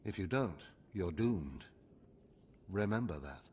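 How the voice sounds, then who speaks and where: An actor playing a stern, haughty older Sith overseer in a video game, clipped, lecturing and condescending. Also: male voice, low-pitched, lively intonation, slow pace, slight background noise. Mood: grave, lecturing. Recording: loud music behind.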